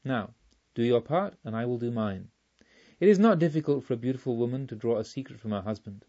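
A man voicing a dignified, menacing yet remorseful robber chief in an audiobook, speaking in a measured, formal way. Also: mid-range voice, lively intonation, warm tone, highs cut off. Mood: grave, earnest. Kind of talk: audiobook storytelling.

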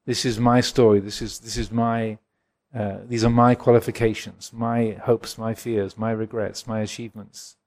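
A man in his sixties from England, a Buddhist monk giving a gentle, reflective dharma talk and answering questions at a retreat. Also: on the low side, level tone, normal speed. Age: 60s. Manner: gentle, reflective, chuckles often.

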